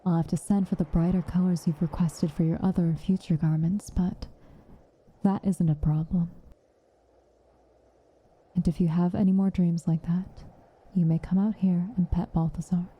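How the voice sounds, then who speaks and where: A woman performs a stern yet caring witch in an intimate ASMR roleplay. Her voice is lowish, very dark and husky with breath. She gives dry commands slowly and softly, often sinking into a protective whisper. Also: flat delivery. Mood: protective, soothing. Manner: measured, soft, often dropping to a whisper, dryly commanding.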